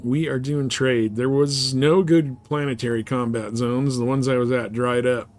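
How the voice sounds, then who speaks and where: A man's voice: mid-range voice, steady tone, moderate pace, a little mellow. A man chatting casually with viewers as he live streams a game. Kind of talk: casual chat over gameplay.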